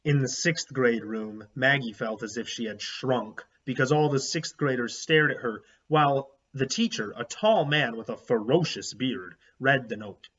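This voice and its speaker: A man's voice: mid-range voice, measured pace, few pauses, a bit bright, husky. A man reading a children's book aloud like a teacher, expressively and with explanatory asides. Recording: slightly dull, lo-fi sound.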